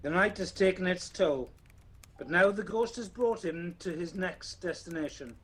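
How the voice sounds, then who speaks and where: A man's voice: high-pitched, moderate pace, fairly bright tone, breathy edge. A man acting as the storybook narrator of a ghost tale, reading scripted radio play narration. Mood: measured storytelling. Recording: faintly noisy home recording.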